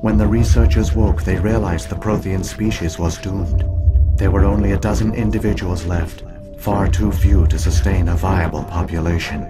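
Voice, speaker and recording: An actor playing an ancient alien artificial intelligence in a video game, speaking in a formal, measured, unemotional way. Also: male voice, low voice, steady tone, over loud music, close to an everyday voice. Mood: solemn, measured. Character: ancient alien AI hologram guardian, solemn and measured. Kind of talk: scripted narration, video game acting.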